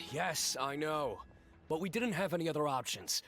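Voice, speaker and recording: Anxious merchant, highish voice, really swoopy, earnest, game dialogue. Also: male voice, lively intonation, normal speed, a bit bright, soft background music. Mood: resigned, reassuring. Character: anxious small-time businessman, earnest and self-justifying. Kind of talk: scripted video game voice acting.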